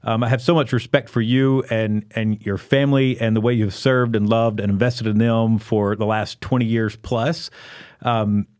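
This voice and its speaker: A man hosting a podcast interview, speaking in an encouraging way and filling pauses with frequent fillers and acknowledgements. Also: lowish voice, steady tone, darkish tone, clear, resonant tone, dry recording, studio quality. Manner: encouraging, lots of backchannel fillers. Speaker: podcast host.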